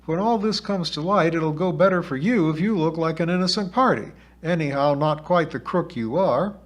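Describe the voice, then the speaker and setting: A man's voice: on the high side, normal speed, voice pushed up, natural voice. A man voicing a plucky, bossy small-town girl, folksy and self-assured, in a storybook reading. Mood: lecturing. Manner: folksy, self-assured, talking like a little lawyer.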